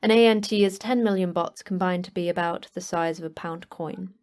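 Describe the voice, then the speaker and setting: A woman's voice: lowish voice, a little fast, a little mellow, natural voice. An actress playing the terse, dry, matter-of-fact female manager of a secret monster facility in a sci-fi horror audio drama. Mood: dry, brisk. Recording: clear background noise.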